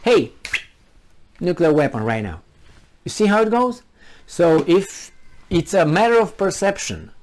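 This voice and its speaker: A man with a Romanian accent, originally from Transylvania, delivering an argumentative political monologue full of rhetorical questions. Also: a little high. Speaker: commentary channel host.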